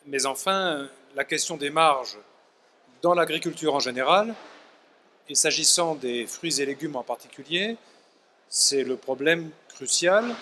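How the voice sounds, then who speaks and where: A man's voice: a little high, bright, forceful tone, a little hiss or hum. A middle-aged man, a professor guest on a trade fair panel, talking articulately and gesturing as he speaks into a handheld mic. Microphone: handheld microphone.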